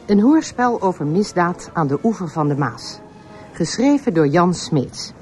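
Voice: mid-range voice, very animated, a little slow, a little mellow, faint music behind. An announcer reading the scripted introduction and credits of a radio play.

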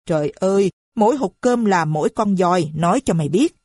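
A woman voicing a shrill, boastful, foul-mouthed middle-aged mother who screeches her abuse. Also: animated, fairly quick, darkish tone, no echo, studio quality. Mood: screaming.

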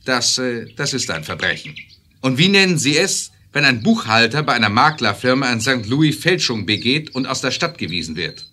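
An actor playing a stern, incorruptible judge in a 1970s German audio drama, speaking deliberately and accusingly. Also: male voice, mid-range voice, big pitch swings, sharp tone. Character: stern incorruptible male judge confronting a forger. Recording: noisy old vinyl radio-play recording.

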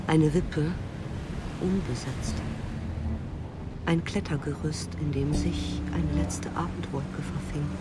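A man reading scripted literary prose as a narrator. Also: fairly high pitch. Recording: loud ambient vehicle noise behind.

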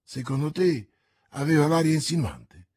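A man voicing a cool, guarded young male swordsman who speaks in terse, low-keyed lines. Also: expressive, moderate pace, darkish tone, slightly rough, no room sound, studio quality.

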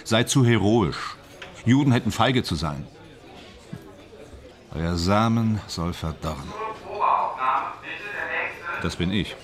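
A man's voice: lowish voice, lively intonation, slow, long pauses. A man in a radio play acting a vain, tormented stage actor, bitter and self-dramatising, with a theatrical, declamatory manner that swings between muttering and outbursts. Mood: mocking.

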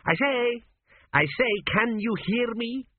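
A man's voice: very high-pitched, expressive, slow pace, no room sound, pitched above the performer's own voice, heavily acted. A man voicing an earnest, flustered young king with a raised, eager tone. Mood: coaxing. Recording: tinny narrow-band audio.

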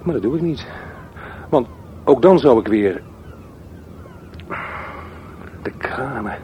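An actor playing a brooding, solitary man in a radio drama, delivering a literary monologue with deliberate, poetic and halting self-talk. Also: medium pitch, expressive, warm tone, lightly acted. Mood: agitated, hesitant. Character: brooding solitary man musing darkly by railway tracks. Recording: noisy recording with outdoor ambience.

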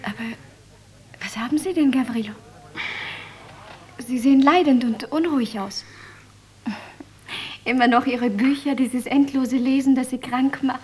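High, husky-voiced genteel young lady. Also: measured pace, pauses often, darkish tone. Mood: concerned. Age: 20s. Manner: light, genteel, teasing. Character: flippant, kindly young lady in her twenties, teasing, coquettish. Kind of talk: German radio drama acting.

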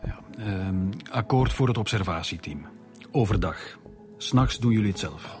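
An actor playing a reserved, cautious Flemish police director, formal and measured, in a radio drama. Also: male voice, lowish voice, expressive, over music. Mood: reluctant, formal.

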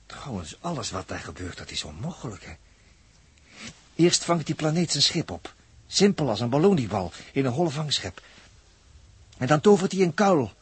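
A man acting an excitable, wry spaceship crewman in a 1960s radio drama, husky, repeating himself in exclamations. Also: mid-range voice, moderate pace, long pauses. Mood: bewildered.

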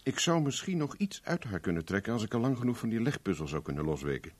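A man's voice: on the low side, very animated, fast, husky. A man acting a cynical, flirtatious, hard-drinking private investigator in a 1970s Dutch radio play, wry and firm. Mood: wry, teasing. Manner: wry, teasing, evasive, firm when pressed.

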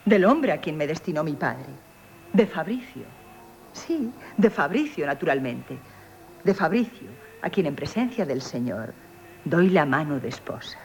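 An actress with a Castilian Spanish accent playing a witty, proud young innkeeper in a theatrical radio comedy, teasing and emphatic. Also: female voice, sits low, very animated, unhurried, darkish tone, heavily acted. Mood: coolly provoking. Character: witty, coquettish, proud young female innkeeper, mock-humble. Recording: hissy 1970s radio broadcast.

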